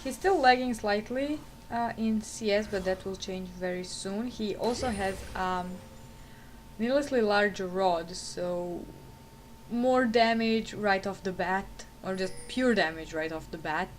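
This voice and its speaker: Woman, Croatian accent, game co-commentator, chatty analysis, mid-pitched voice, faint hiss. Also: unhurried. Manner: chatty, explanatory analysis with fillers. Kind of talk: color commentary on a video game.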